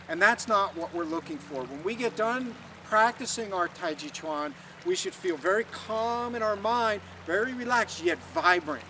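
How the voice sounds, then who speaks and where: A man teaching tai chi, guiding students calmly and patiently through an exercise step by step. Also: high voice, recorded from a distance, a little hiss or hum, low-quality recording. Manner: calm, patient step-by-step guidance. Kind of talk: instructional talk with demonstration.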